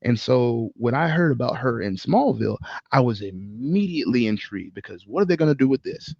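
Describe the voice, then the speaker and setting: Male co-host, gravelly voice, foul-mouthed casual storyteller, dry but slightly muffled sound. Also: medium pitch, brisk, dry recording. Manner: animated, profane, slangy. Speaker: podcast co-host.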